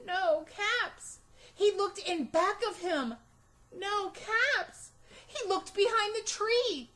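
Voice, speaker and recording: A woman reads a picture book aloud for young children. Her voice is high and very lively in pitch, and she takes her time. She places her emphasis dramatically and expressively. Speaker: storytime reader. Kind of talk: animated children's read-aloud narration.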